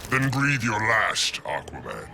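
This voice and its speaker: An actor playing a brutal, arrogant conquering beast in a video game, haughty and menacing. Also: male voice, lowish voice, huge pitch swings, a little slow, vocal fry, loud music behind the voice, heavily acted. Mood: arrogant menace.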